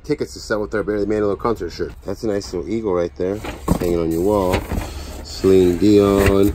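A man in his forties, chatty and excited, talking to viewers while unboxing outdoors beside storage units. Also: sits low, fairly quick. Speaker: storage auction reseller. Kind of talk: casual unboxing vlog. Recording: outdoor noise behind voice.

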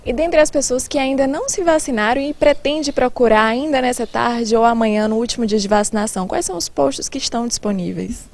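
A young woman reports for TV news with a Brazilian Portuguese accent. Her voice sits a little high. She presents and asks questions into a handheld microphone out in a garden. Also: brisk, few pauses, darkish tone. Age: young adult. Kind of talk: live news reporting and interviewing. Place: outdoors in a building's garden.

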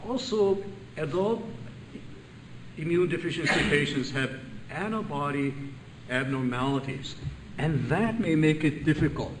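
An older man from Hawaii with a loud voice, giving a chatty, joking conference lecture as a physician. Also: on the high side, lively intonation, a little slow, pauses often, noisy background. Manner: chatty, self-deprecating, laughs at own jokes. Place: large conference room. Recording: echoey room, voice from a distance.

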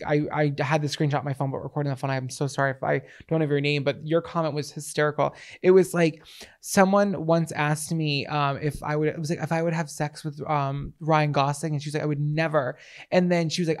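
An American man in his thirties from Massachusetts chatting casually and playfully as a podcast co-host into a close handheld microphone. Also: a little high. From Massachusetts, United States. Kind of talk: casual comedic podcast banter. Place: small decorated indoor podcast set. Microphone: handheld microphone held close.

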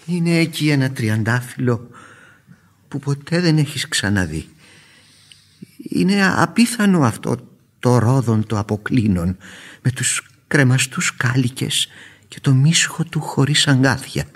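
Rapt older gardener uncle, swooping delivery. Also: male voice, mid-range voice, animated, breathy edge. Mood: proud wonder. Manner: wonder-struck, poetic, emphatic. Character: gentle older uncle, rapt rose-loving amateur gardener. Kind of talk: radio theatre acting, lyrical recitation. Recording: radio drama with guitar music behind.

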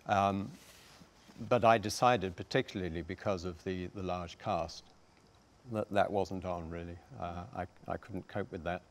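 A middle-aged man with a deep voice speaks as a panellist on a theatre stage. He explains things formally and deliberately, choosing his words with care. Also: fairly even intonation. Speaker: panellist, radio dramatist.

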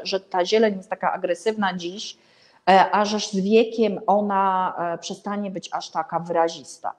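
A woman's voice: medium pitch, darkish tone. A middle-aged woman gives an informal spoken review to camera, searching for words and leaning emphatically on key ones. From Warsaw, Poland. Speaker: presenter of an art and architecture vlog. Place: small indoor room with paintings and a bed.

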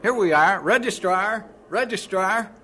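A man's voice: high voice, measured pace, sharp tone, slight background noise. An actor playing an eager young male college student working at a camp.

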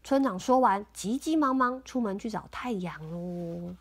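A woman reading a picture book aloud to her child at home, gentle and sing-song, pausing to explain. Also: medium pitch. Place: home, indoors.

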